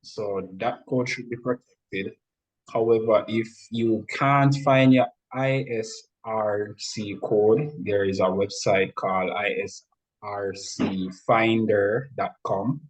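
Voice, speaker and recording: A man speaking as an interview guest, explaining things in a measured, instructive way. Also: on the low side, fairly even intonation, rough recording. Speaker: interview guest, music industry expert.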